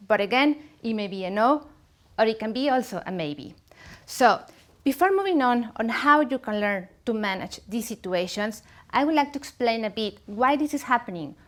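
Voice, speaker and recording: A woman from Mexico with a Mexican accent, a native Spanish speaker, giving a measured, formal instructional presentation as a seminar trainer. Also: a little high.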